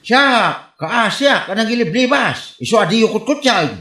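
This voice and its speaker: A woman's voice: a little high, animated, normal speed, a bit bright. A woman playing a grumbling, put-upon household member in an Ilocano comedy radio drama, with an Ilocano accent. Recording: echoey room with faint music.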